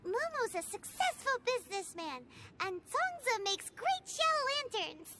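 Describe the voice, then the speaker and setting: A woman's voice: very high-pitched, big pitch swings, heavy background noise, exaggerated voice. A woman voicing a chirpy, blunt little floating girl companion in a video game cutscene. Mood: cheerful. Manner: bouncy, indignant, quick to retort. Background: game music.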